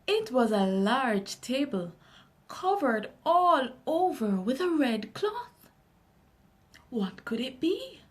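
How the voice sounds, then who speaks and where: A woman with a Jamaican accent reading a children's story aloud in an expressive, unhurried way. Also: high voice.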